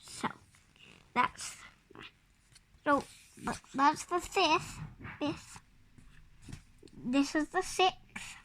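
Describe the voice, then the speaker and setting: A five-year-old child reading a picture storybook aloud in a halting, word-by-word way. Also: high-pitched, a bit bright, a little hiss or hum. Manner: halting, word-by-word early reader. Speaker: child reader.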